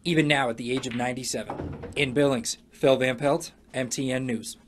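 A man's voice: mid-range voice, steady tone, fairly quick, very noisy. An American man reading scripted narration as a television news reporter.

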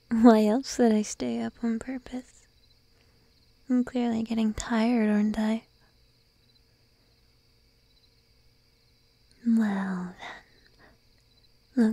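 A woman voicing a shy, needy, teasing sheep-girl girlfriend in an ASMR roleplay, speaking in a soft breathy whisper close to the microphone. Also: fairly high pitch, no room sound. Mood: playful teasing. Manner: slow, drowsy, playfully coaxing. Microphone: close microphone, dry.

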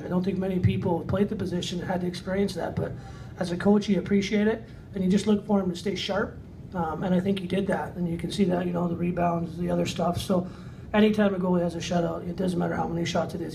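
A man's voice: high voice, creaky, warm tone. A middle-aged man, an assistant hockey coach, giving plain-spoken post-game remarks peppered with "you know". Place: indoor press conference room. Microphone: far camera. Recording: distant camera mic, noisy room.

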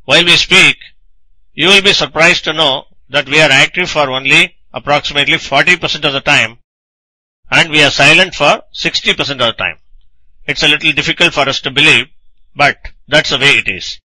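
Bright, forceful male lecturer. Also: a little high, brisk, dry recording, poor audio. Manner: didactic, asking and answering own questions. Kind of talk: scripted technical lecture narration.

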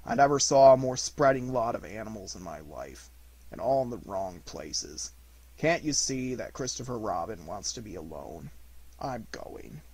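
A man voicing a gloomy, self-important old donkey in a children's storybook, halting and pompous, muttering asides. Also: fairly low pitch, very animated, darkish tone, poor audio. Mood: grumpy.

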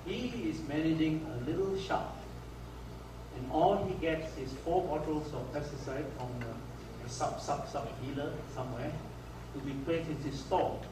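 A man from Malaysia giving a conference lecture over slides, speaking haltingly with many restarts and fillers. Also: on the high side, background noise. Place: lecture hall. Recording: echoey hall recorded from far off.

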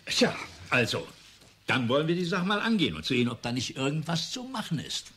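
An actor playing a brisk male professor in a radio drama, husky and muttering, often repeating his words. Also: on the high side, very animated, a bit bright, a little hiss or hum. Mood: determined. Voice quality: husky, raspy.

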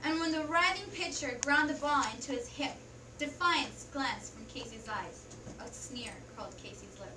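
A woman's voice: sits high, moderate pace. A teenage girl reading a poem aloud from a script in a student reader's theatre group, now and then stumbling over a word. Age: teens. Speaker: student performer. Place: classroom. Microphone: far camera. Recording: echoey noisy classroom from a far camera.

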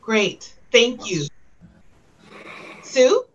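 A woman moderating a panel on a video call, brisk and friendly as she introduces each speaker. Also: fairly high pitch, wildly swooping pitch, a little hiss or hum. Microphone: video call webcam. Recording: dull video call audio.

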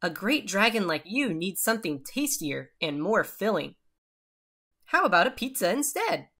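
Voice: medium pitch, very animated, moderate pace, bright, forceful tone, voice pushed up, exaggerated voice. A narrator voicing a small, brave, clever young owl knight from a storybook, earnest and pleading. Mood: pleading, persuasive. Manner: earnest, pleading, hooting calls.

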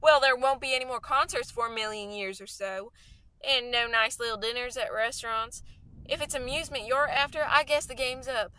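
A woman's voice: on the high side, a little fast, pushed and bright, clear, resonant tone. A woman playing a fervent, scheming artilleryman survivor in a radio play, talking in an insistent, slangy, folksy way. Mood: urgent, knowing.

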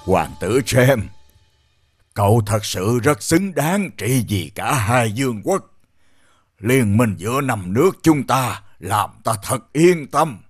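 A man playing a dignified royal elder in an animated fairy tale, stately and formal. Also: mid-range voice, takes it slowly, no echo. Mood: approving. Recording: faint music behind dry voice.

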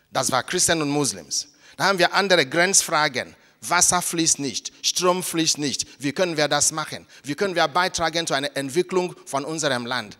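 Middle-aged Nigerian priest, lively and emphatic, bright forceful voice, handheld mic, echoing hall. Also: male voice, a little high. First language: Igbo. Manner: animated, gesturing, switching into English phrases. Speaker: priest and panel guest. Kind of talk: lively panel talk. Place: large hall on stage. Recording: reverberant hall.

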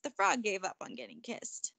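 A woman reading a children's picture book aloud as narrator, expressive and emphatic. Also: medium pitch, normal speed, creaky, no echo. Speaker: storybook reader.

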